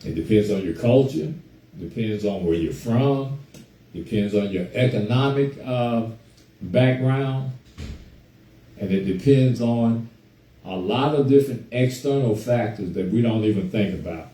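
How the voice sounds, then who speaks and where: A man hosting a casual talk show on a group call, hoarse from a cold, chatting easily and greeting listeners by name. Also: mid-range voice, a little slow, mellow, echoey room, recorded from a distance. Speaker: host.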